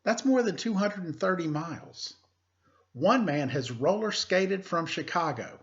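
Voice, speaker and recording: A man speaking as an elementary teacher, reading aloud to pupils with deliberate emphasis and informal asides. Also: high voice, lively intonation, a bit bright, highs cut off. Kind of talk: read-aloud lesson with casual asides.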